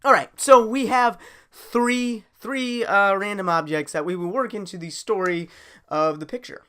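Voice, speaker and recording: Slow-paced man improvising a story. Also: high voice, bright, forceful tone, clear, resonant tone. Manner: halting, thinking aloud. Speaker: storyteller, host.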